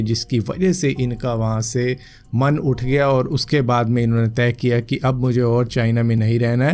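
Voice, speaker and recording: A man's voice: medium pitch, darkish tone. A man hosting a news roundup, explaining stories in an informal, conversational way and addressing viewers as friends. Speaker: host of a news roundup show.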